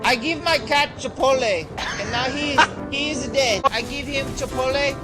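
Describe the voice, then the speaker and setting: A man's voice: extremely high pitch, normal speed, loud music behind the voice. A man putting on a goofy prankster voice with broken English and crude jokes, in a game stream. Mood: silly.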